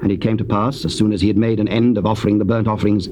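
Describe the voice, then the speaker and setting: A man narrating dramatized scripture in a solemn, measured storytelling manner. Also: lowish voice, little pitch movement, mellow. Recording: dull, noisy old cassette tape.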